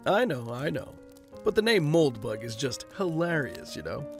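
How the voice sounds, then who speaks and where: A man playing a wry, guarded rich gentleman in an audio drama, speaking in a dry, understated way. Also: on the high side, sing-song, moderate pace, soft background music. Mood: amused.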